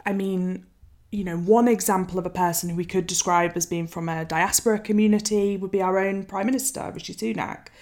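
A woman co-hosting, posing a careful, measured question. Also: medium pitch, fairly even intonation, a little mellow. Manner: measured, searching for words. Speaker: co-host. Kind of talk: interview question.

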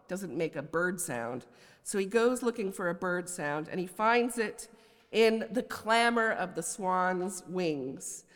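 A woman's voice: on the low side, lively intonation, takes it slowly. A woman with an American accent giving an academic poetry lecture, scholarly but with conversational asides. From United States. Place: large indoor lecture hall. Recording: reverberant lecture hall.

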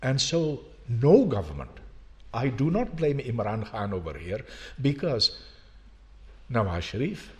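A man's voice: medium pitch, a little mellow. An older man, a longtime professor from Pakistan, giving an emphatic public lecture full of rhetorical questions. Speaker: university professor.

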